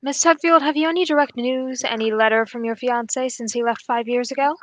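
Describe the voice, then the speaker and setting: A woman's voice: on the high side, brisk, close to an everyday voice. A woman playing a keen, assured male English detective in a radio drama, speaking in a formal, analytical way.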